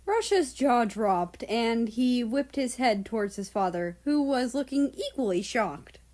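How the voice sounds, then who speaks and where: A woman reading a story aloud as an audiobook narrator, in expressive scripted narration. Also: fairly high pitch, a little slow.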